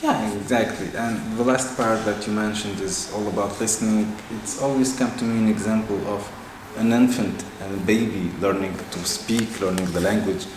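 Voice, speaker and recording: A man's voice: on the low side, moderate pace, hardly any pauses. Give me a man hosting a podcast in an echoey room. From Tunisia. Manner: supportive, with short affirmations. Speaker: podcast host. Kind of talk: casual interview chat. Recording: roomy echo with steady noise.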